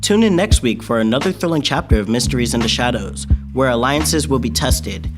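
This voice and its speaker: A man's voice: medium pitch, lively intonation, fairly quick, natural voice. A man as ominous radio mystery announcer over music. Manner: theatrical, ominous. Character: solemn old-time radio mystery announcer. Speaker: narrator and announcer. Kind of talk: scripted old-time radio narration. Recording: music bed behind the voice.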